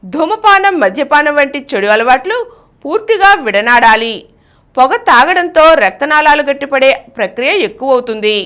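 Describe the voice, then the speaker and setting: High-pitched woman reading the news. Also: animated, moderate pace, fairly bright tone. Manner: formal, announcer-like delivery. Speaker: news reader. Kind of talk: scripted news bulletin reading.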